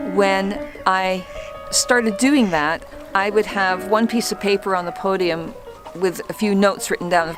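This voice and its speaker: Calm woman coaching public speaking. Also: on the low side, low-quality recording. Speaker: former trial lawyer. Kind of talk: instructional talk to camera.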